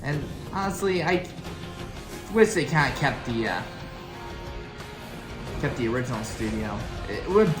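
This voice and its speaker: Young woman, extremely low pitch, rambling off-the-cuff commentary, music clearly behind. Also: reverberant. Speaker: reaction video host. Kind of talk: casual unscripted reaction commentary. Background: music from the intros being watched.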